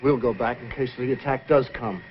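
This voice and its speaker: An actor playing a cocky outlaw leader with a decent streak in an old-time radio adventure, commanding and tough-talking. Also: male voice, medium pitch, fairly quick, natural voice. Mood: steady. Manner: commanding, dismissive tough talk. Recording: muffled, noisy vintage radio recording.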